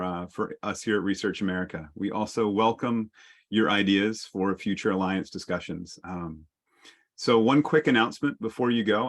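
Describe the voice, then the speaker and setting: Man, low voice, panel moderator, video call.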